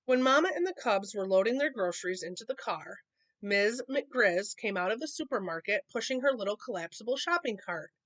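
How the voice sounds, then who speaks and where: A woman storyteller reads to young children with very lively, varied intonation and a medium pitch. She is expressive and drops in casual, explanatory asides as she goes. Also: brisk. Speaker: storyteller reading a picture book to children. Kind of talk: animated read-aloud with casual asides.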